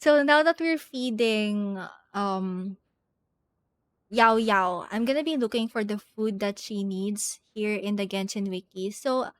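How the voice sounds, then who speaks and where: A woman's voice: mid-range voice, slow, no echo, clean studio sound. A woman chatting casually on a game livestream, thinking aloud in a hesitant, giggly way, with game music behind her. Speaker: game streamer. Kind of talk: casual livestream chat while playing.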